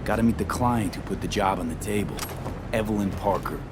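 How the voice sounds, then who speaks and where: Actor as a low-voiced, blunt mercenary. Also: male voice, a little fast, noisy background, lightly acted. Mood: matter-of-fact. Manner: clipped, blunt, streetwise. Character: tough, terse young male street mercenary. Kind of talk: video game dialogue. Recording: music playing behind the voice.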